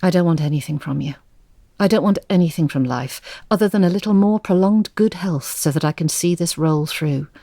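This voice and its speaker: An actress playing a weary, resentful older mother in an audio drama, speaking in a measured, reflective, firm way. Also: fairly low pitch, dark tone, dry recording.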